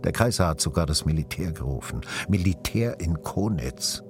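An actor playing a grave witness who recounts events in measured short phrases in a radio drama. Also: male voice, low voice, animated. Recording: music behind the voice.

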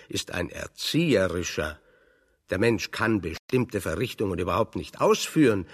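An actor playing a wry, pedantic intellectual exile in German radio drama, explaining things with irony. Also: male voice, fairly low pitch, fairly bright tone, long reverb tail, full character voice. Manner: pedantic, ironic, expository. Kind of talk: German radio play dialogue.

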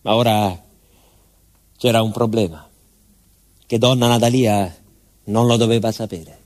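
Actor playing a rustic southern Italian villager, grieving storyteller. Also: male voice, fairly low pitch, fairly even intonation, measured pace, a little mellow, natural voice. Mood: confiding. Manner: colloquial, rustic, addressing an unseen listener with rhetorical questions. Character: aging southern Italian village man, grieving former emigrant miner. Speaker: stage actor. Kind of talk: theatrical monologue storytelling.

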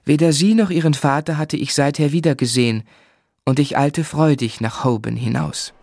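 A man's voice: fairly high pitch, moderate pace, vocal fry, a little mellow, no room sound, clean studio sound. An actor playing an earnest young man who tells his life in articulate literary German radio drama style. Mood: reflective.

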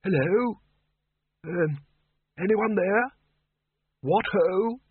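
A high, reedy old wizard voice drawls along cheerfully and amiably, as a man puts him on. He rambles at an unhurried pace. The sound is thin and phone-like, with no room around it. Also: breathy edge, dry recording, full character voice. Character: cheerful very old male wizard on his last day. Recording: narrow phone-like sound, dry.

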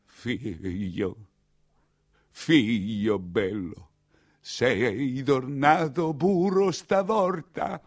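A man playing a sorrowful, sentimental hundred-year-old general in a theatrical radio monologue, halting and repetitive, with a Roman accent. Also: medium pitch. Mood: pleading, mournful. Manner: halting, stammering, repeating himself.

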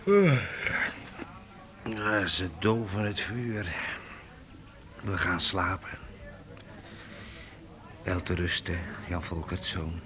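Radio play street performer: easygoing and kindly, lowish voice, slow delivery. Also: male voice, expressive, fairly bright tone, exaggerated voice. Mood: kindly, quiet. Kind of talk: Dutch radio play acting.

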